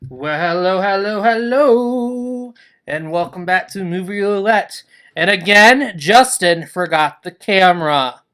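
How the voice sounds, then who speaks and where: A man with a bright, forceful voice hosts a movie review chat. His delivery is informal and joking, like a casual talk between friends. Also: sits high, lively intonation, takes it slowly, smooth. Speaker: podcast host reviewing movies.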